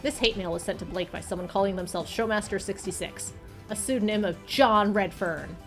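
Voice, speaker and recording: An earnest amateur detective from a visual novel game, voiced by a woman, probing and persistent. The questioning comes in a medium-pitched voice, with music clearly playing behind it. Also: fairly quick, close to an everyday voice. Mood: determined.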